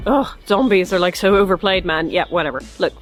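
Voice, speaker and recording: A woman's voice: lowish voice, animated, fairly quick, faint music behind, low-quality recording. A woman hosting a scripted but chatty review, speaking in a casual, wry, dryly joking way. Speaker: host of a review show.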